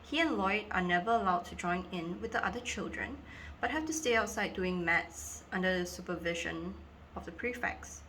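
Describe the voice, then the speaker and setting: A woman's voice: lowish voice, moderate pace, fairly bright tone, a little hiss or hum, rough recording. A woman presenting acting scripts, reading the synopsis aloud to camera. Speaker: amateur actor presenting scripts.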